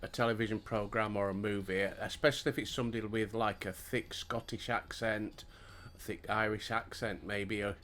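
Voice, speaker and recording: A man's voice: lowish voice, steady tone, moderate pace, few pauses, fairly bright tone. A middle-aged man with a Yorkshire accent, chatting casually with a friend while streaming a game and muttering about tasks. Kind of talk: casual chat while gaming.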